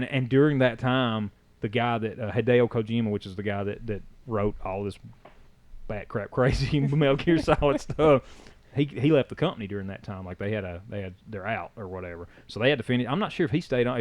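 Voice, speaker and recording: A man's voice: mid-range voice, dry recording. A man co-hosting a podcast, chatting casually in a rambling way with many restarts. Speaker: podcast co-host. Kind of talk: casual chat.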